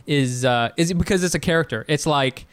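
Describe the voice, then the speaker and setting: A man's voice: on the high side, a little fast, clear, resonant tone, dry recording. A man co-hosting a casual comedy chat, telling stories animatedly and laughing often. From Vietnamese heritage.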